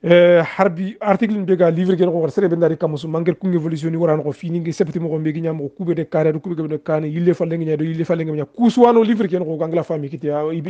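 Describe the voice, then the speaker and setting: A man's voice: a little high, warm tone, lo-fi sound. A man from Mauritania, a guest author, talking in a hesitant way with many fillers and restarts. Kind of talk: casual interview talk.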